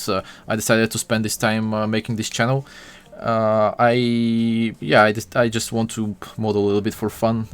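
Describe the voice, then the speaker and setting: A man's voice: fairly low pitch, clean tone. A young man from Bulgaria chatting with his live-stream viewers while he models, full of fillers and restarts.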